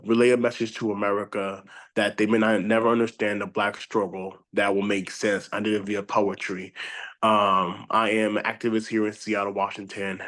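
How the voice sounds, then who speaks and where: Low, even-voiced man from Haiti. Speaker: author and activist, panel guest. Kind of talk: self-introduction, motivational talk.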